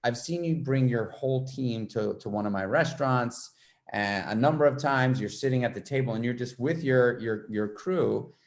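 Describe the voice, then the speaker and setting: A man's voice: medium pitch, normal speed. A man from New York City hosting a podcast interview, chatting in a loose, rambling way full of fillers. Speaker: podcast host. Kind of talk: casual interview chat.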